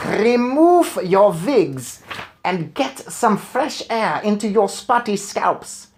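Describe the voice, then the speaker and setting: Guy doing a scary witch queen for a storybook, foreign accent, way high pitched, barking slow harsh orders. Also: big pitch swings, slight background noise, heavily acted. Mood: imperious, menacing. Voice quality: harsh, rasping, snarling, guttural. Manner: slow, barking, shouted commands. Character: tyrannical female Grand High Witch, commanding and menacing.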